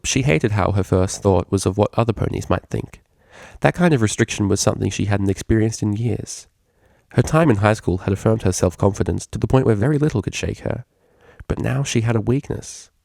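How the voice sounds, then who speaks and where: A man's voice: lowish voice, creaky, darkish tone, dry recording, clean studio sound. A man reading a scripted story aloud as its narrator. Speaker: fan fiction reader.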